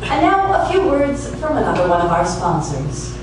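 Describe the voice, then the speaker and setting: A woman's voice: lowish voice, huge pitch swings, normal speed. A woman announcing scripted sponsor spots for radio theater. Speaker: advertisement announcer. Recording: echoey stage, distant mic, noisy.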